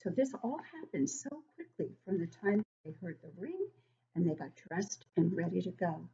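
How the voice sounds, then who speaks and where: A woman reading a storybook aloud to young children as a teacher, expressive, with encouraging conversational asides and questions to her listeners. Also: on the low side, normal speed, vocal fry. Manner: expressive, emphatic when reading. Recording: dull, lo-fi sound.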